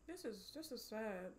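A young American woman giving a casual, emotional movie reaction in an expressive, slangy way, full of exclamations. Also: fairly high pitch, background noise. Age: young adult. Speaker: movie reaction video host. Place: small home streaming room, indoors. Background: film soundtrack and dialogue.

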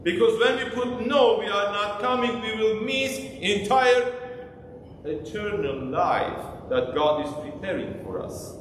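A priest with a high, unhurried voice gives a sermon in a small church and keeps asking the congregation questions. He sounds heavily echoed and distant, picked up by a camera across the room. A faint hum of the room sits behind him. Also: expressive, fairly bright tone. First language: Armenian. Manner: interactive, asks the congregation questions. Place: small church sanctuary, indoors. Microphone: far camera.

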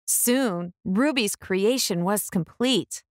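A woman reading a picture book aloud to young children in an expressive storytelling style. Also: mid-range voice, takes it slowly, dry recording, studio quality. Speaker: children's book reader and channel host.

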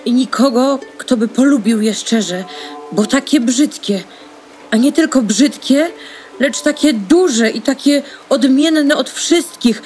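A woman playing a sad, lonely young duckling in an audio drama, speaking in a plaintive, drawn-out way. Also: sits high, darkish tone, a little hiss or hum. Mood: forlorn. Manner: plaintive, drawn-out, repeating words for emphasis.

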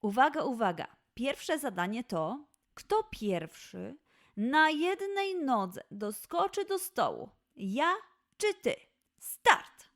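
A woman's voice: high voice, sing-song, slow pace, voice pushed up, exaggerated voice. A woman voicing a playful, encouraging mother lioness who coaxes with game-like challenges in a children's audio play. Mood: playfully challenging.

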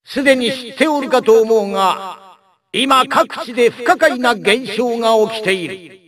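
An actor playing a pompous elderly robot scientist in a video game, delivering an oratorical proclamation. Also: male voice, very high voice, measured pace, far from the mic, a crowd in the background. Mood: grandiose. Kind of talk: formal announcement in Japanese game acting.

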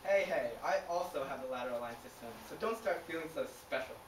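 A woman's voice: low voice, animated, fast. A woman voicing a boastful, touchy male shark in an amateur comic puppet show, with a giggly delivery. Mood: indignant. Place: indoors, homemade puppet stage filmed by a camera. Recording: echoey, distant, noisy home camera recording.

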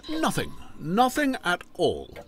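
An actor playing a restless, ailing older country gentleman in an audio drama, wry, kindly and hesitant. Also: high voice, wildly swooping pitch, takes it slowly, slight background noise, exaggerated voice.

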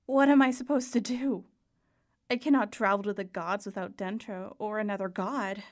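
An actress playing a defiant, passionate mortal heroine of ancient Greece in an audio drama, earnest and formal. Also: female voice, mid-range voice, steady tone, moderate pace, lightly acted. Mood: pleading.

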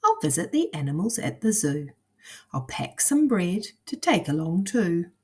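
A woman voicing a hapless, excitable man from a children's storybook, with singsong exclamatory delivery. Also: a little slow, husky, full character voice. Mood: musing, cheerful. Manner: exclamatory, singsong rhyming speech with high yelps.

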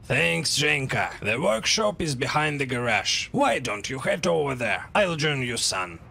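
An actor playing a gruff middle-aged male racer who mentors a younger driver. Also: mid-range voice, sing-song, unhurried, heavily acted. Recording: game cutscene with loud music.

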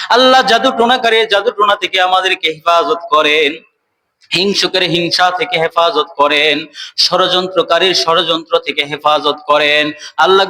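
A man with a Bangladeshi accent leading a prayer as an imam, pleading tearfully in an emotional, half-chanted supplication with drawn-out calls. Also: high-pitched, bright, forceful tone.

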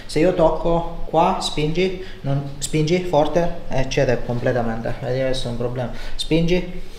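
A man's voice: a little high, a little slow, a little mellow. A man in his thirties talking a patient through hands-on treatment, reassuring and explanatory, with short instructions. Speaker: chiropractor. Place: indoor home-style treatment room. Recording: roomy echo, noticeable background noise.

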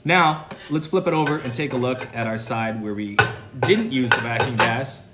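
A man's voice: medium pitch, moderate pace. A man in his thirties giving a casual welding lesson, explaining each step plainly. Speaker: welding instructor. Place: welding workshop, indoors. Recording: echoey workshop with background noise.

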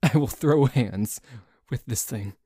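A man playing a dorky, jealous tsundere boyfriend in an ASMR roleplay, bickering haltingly with a cocky streak. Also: medium pitch, dark tone, husky, no room sound, clean studio sound. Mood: irritated, combative. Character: dorky, jealous, grumbling tsundere boyfriend who dislikes a cat.

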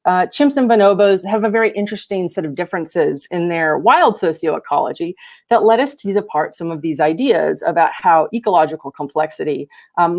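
A woman's voice: fairly low pitch, a little mellow. A woman giving an explanatory research seminar talk over a video call, sometimes repeating words as she goes. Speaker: academic researcher presenting.

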